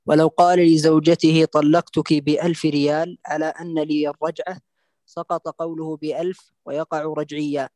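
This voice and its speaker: A man, a student, reading rule texts aloud in a flat recited manner during an online lesson. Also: fairly high pitch, moderate pace, dry recording.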